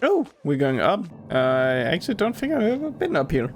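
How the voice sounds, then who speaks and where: A man's voice: high-pitched, wildly swooping pitch, moderate pace. A man giving casual, offhand commentary while he plays a video game. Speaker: gameplay streamer.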